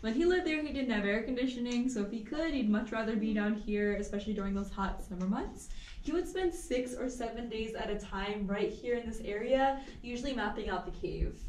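A woman's voice: on the high side, a little fast, darkish tone, slight background noise. A woman leading a group through a cave, chatty and informative as she explains each room. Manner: chatty and informative, light laughs. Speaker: cave tour guide. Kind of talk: guided tour talk to a group. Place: inside a large cave. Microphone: camera held by a visitor some distance away. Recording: echoey cave, voice from far off.

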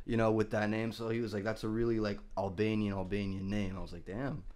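A man's voice: lowish voice, little pitch movement, fast, darkish tone. A young man hosting a podcast over a video call on a headset, chatting casually and loosely, full of dude and bro. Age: young adult. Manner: laid-back, peppers talk with dude and bro. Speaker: podcast host. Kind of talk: casual interview chat. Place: small room at home.

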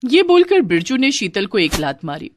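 Woman narrator with lively pitch. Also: moderate pace, a little hiss or hum. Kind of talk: scripted story narration.

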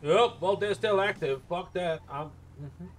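A man's voice: high-pitched, measured pace. A man chatting casually and profanely as he streams an in-game roleplay. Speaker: roleplay streamer playing a medic. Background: game sirens and vehicle noise.

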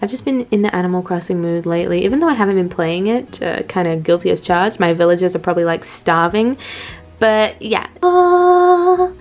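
A woman's voice: lowish voice, lively intonation, moderate pace, a little mellow. A woman with a stuffy, blocked nose from a cold, chatting casually and giggling while unboxing toys for her channel. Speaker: toy collector vlogger.

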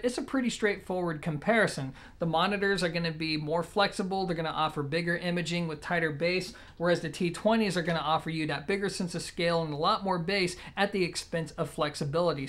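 A man in his thirties with a slightly high-pitched voice reviews gear in a casual style. He speaks straight to his viewers, conversational and plain, like a regular host of his own channel. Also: a bit bright. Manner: conversational, addresses viewers directly. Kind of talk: casual product review to camera. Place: indoor room at home, seated.

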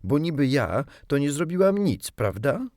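Man playing a prim, animated little girl. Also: a little high, a little slow, no room sound, studio quality, pitched above the performer's own voice. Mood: indignant, haughty. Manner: proper, indignant, caring. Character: prim, haughty yet kindly little girl.

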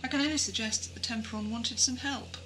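Sceptical female space officer acted by a woman, highish bright voice, crisp, sardonic audio drama delivery. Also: fast, slight background noise. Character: dry, sceptical female space crew member. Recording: music behind amateur audio drama.

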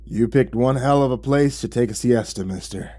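A man's voice: mid-range voice, normal speed, dark tone, lightly acted. A man playing a tall, impatient frontier lawman with a threatening in an audiobook story. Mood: gruff, menacing. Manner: drawling, threatening. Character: tall, gruff, impatient Old West lawman.

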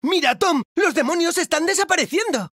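A woman's voice: extremely high pitch, lively intonation, a little fast, no echo, clean studio sound. A woman voicing an eager, brave young snowman boy in a video game, with a Castilian Spanish accent. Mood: excited. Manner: exclamatory, eager. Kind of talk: video game cutscene acting. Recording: behind loud game music.

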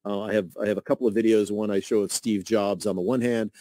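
Man, radio host, low voice, measured, dry studio sound. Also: fairly even intonation, mellow, no room sound. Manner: measured, with many fillers. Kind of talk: radio interview. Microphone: studio microphone with headphones.

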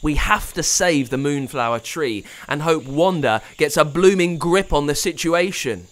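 A man's voice: high voice, big pitch swings, moderate pace, fairly bright tone, pitched above the performer's own voice. A man voicing an eager young boy hero who exclaims urgently.

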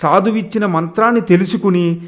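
A man's voice: high voice, fairly quick, noisy background. A man narrating a scripted moral tale as a storyteller. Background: music.

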